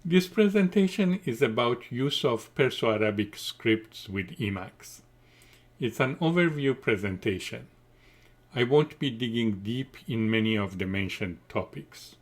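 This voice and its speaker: Man, middle-aged, unhurried, formal measured presenter. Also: mid-range voice, expressive. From Iran. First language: Farsi. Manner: measured, deliberate, formal delivery. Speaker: conference presenter. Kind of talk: scripted technical presentation.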